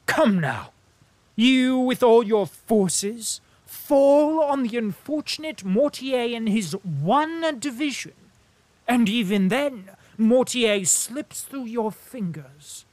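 A man's voice: very high voice, animated, slow pace, dry recording, pitched above the performer's own voice, full character voice. A man voicing a mocking urbane diplomat. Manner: ironic, teasing emphasis. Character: sardonic witty male diplomat, mocking and urbane. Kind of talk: audiobook character reading.

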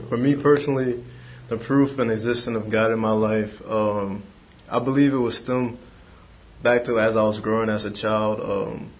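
A twenty-five-year-old man co-hosting a talk, speaking in a measured way with frequent pauses. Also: on the low side, slight background noise. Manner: measured, pausing with "um". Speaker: podcast co-host. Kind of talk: casual faith conversation.

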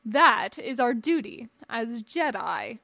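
A woman's voice: fairly high pitch, sing-song, takes it slowly, gravelly, sharp tone, no room sound, heavily acted. A woman voicing an imperious, scornful male Jedi master in a stern, formal, lecturing manner, in an audiobook-style story. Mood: haughty, lecturing. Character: tall imperious old Jedi master, scornful, pompous man.

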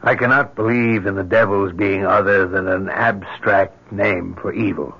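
Radio drama clergyman: low, gravelly, hesitant, politely patronizing, dull vintage recording. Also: steady tone, a little slow, sharp tone, exaggerated voice. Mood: skeptical, reasoning. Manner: hesitant, formal. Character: skeptical, mildly patronizing clergyman, rational and hesitant. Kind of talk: old-time radio drama acting.

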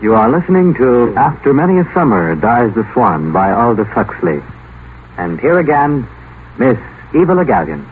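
A man's voice: medium pitch, big pitch swings, normal speed. A man announcing from a script in the style of 1950s network radio. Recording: tinny, noisy old radio broadcast.

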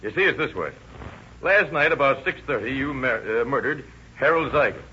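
An actor playing a tough, sardonic police detective in 1930s radio crime drama, blunt, slangy and commanding. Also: male voice, medium pitch, lively intonation, moderate pace, pushed and bright. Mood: firm, pressing. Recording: muffled, noisy old radio recording.

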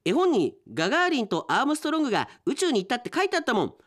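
Man playing five-year-old boy for radio story: high-pitched, stubborn, childishly insistent. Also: huge pitch swings, rapid-fire, a bit bright, heavily acted. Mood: stubborn, excited. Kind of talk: read-aloud radio drama story.

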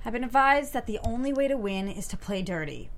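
A woman's voice: on the high side, lively intonation, a little fast, a little hiss or hum. A woman playing a scrappy, awkwardly earnest and profane young CEO in a comedy script table read.

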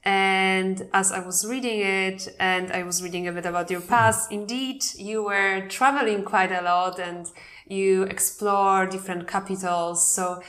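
A woman's voice: lowish voice, fairly bright tone. A woman hosting an art podcast, reading a scripted introduction and then asking questions with some hesitation. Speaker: podcast host. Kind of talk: podcast interview.

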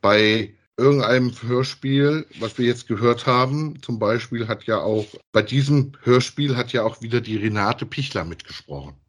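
A man's voice: mid-range voice, normal speed, few pauses. A man, chatting casually as a podcast co-host about audio dramas.

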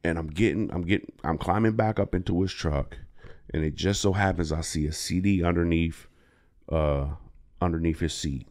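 A man's voice: deep voice, normal speed. A man who is a comedian guest, telling exaggerated stories casually with slang and swearing. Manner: profane, slangy, animated joking with exaggeration. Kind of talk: casual podcast storytelling.

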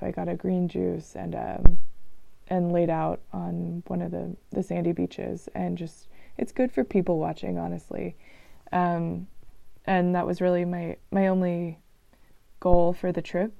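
A woman chatting casually in a travel vlog, rambling and relaxed, with frequent ums and restarts, on a dull, lo-fi recording. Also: lowish voice, vocal fry, no echo. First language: English. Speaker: vlogger.

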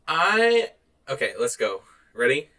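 A man's voice: medium pitch, very animated, slow, sharp tone. A man co-hosting a podcast, chatting casually about movies in a blunt, opinionated way. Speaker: podcast co-host.

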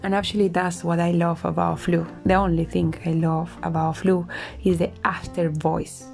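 Smoky-voiced woman, husky after a flu. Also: flat delivery, moderate pace, soft background music. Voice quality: smoky, low voice. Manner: reflective, chatty, with soft laughs and restarts. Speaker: podcast host. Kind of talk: casual solo podcast monologue.

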